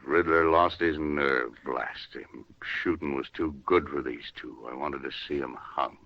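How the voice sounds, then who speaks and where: A man's voice: extremely low pitch, fairly even intonation, a little slow, dry recording, heavily acted. An American man playing a bitter, weary frontier gunman in a 1950s radio western. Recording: muffled vintage radio recording.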